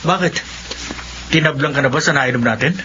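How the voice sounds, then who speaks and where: A man's voice: a little high, fairly quick, close to an everyday voice. A Filipino man acting in a horror radio drama as a teasing, needling predator who is another's sidekick. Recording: dull lo-fi audio, faint background noise.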